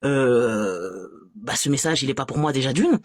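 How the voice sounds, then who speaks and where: A woman from France giving an animated, exasperated and sarcastic personal testimony as a guest on a live stream. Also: a bass voice, a little slow, dry recording, studio quality.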